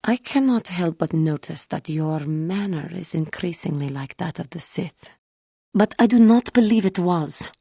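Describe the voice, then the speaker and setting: A woman playing a wounded, earnest female alien Jedi in a video game, speaking in formal, measured phrases. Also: fairly low pitch, lively intonation, warm tone, husky, no echo, studio quality, exaggerated voice. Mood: troubled, grave. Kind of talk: scripted video game character dialogue.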